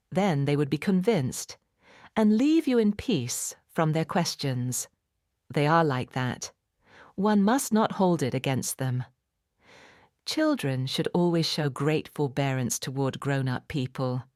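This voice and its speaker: Woman, low pitch, animated intonation, measured storybook narration, dry recording. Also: dry recording. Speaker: audiobook narrator. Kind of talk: expressive bedtime storybook narration.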